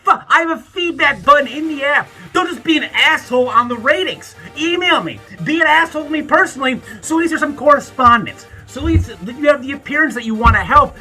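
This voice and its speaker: A man in his thirties talking alone to camera in a rambling, halting way, often restarting his sentences and sometimes breaking into profane ranting. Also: very high-pitched, expressive, bright, forceful tone, soft background music, rough recording. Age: 30s. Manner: rises into an angry, profane rant. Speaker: YouTuber. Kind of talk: reflective birthday vlog monologue.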